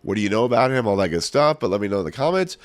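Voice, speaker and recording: A man's voice: lowish voice, very animated, rapid-fire, dry recording. A man losing his voice, casually reviewing a film on his channel, rambling with lots of fillers and restarts. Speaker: movie reviewer, channel host.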